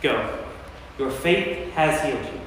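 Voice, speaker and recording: A man acts out a commanding male teacher and healer from scripture. He speaks deliberately and with authority in a staged reading, his words ringing with heavy echo and long reverb. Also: fairly high pitch, animated, a bit bright, a little hiss or hum. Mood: calm assurance. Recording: very echoey church hall.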